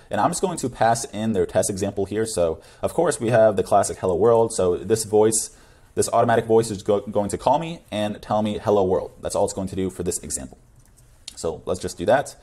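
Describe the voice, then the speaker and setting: A man's voice: fairly low pitch, dark tone. A young man presenting a coding tutorial in a casual screencast style. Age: young adult.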